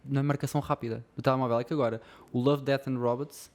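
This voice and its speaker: A man's voice: medium pitch, flat delivery, fast talker. A man with a European Portuguese accent hosting a casual studio interview, asking friendly, joking questions. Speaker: podcast host.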